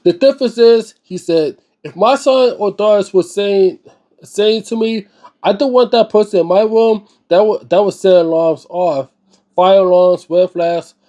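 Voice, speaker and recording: A man reading a book aloud and commenting informally, often halting, stumbling and repeating words, with slangy phrasing. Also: high voice, warm tone. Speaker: host of a read-aloud book series.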